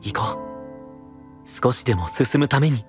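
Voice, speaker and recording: Actor as caring young knight, expressive pitch. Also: male voice, measured pace, over music, natural voice. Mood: earnest, encouraging. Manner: gentle, reassuring. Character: caring, earnest young male knight, protective friend. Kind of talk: Japanese fantasy voice drama.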